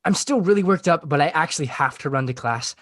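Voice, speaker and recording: Beleaguered high school class president, male actor, defensive and halting, very animated pitch, dry close sound. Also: no room sound. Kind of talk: comedy script table read.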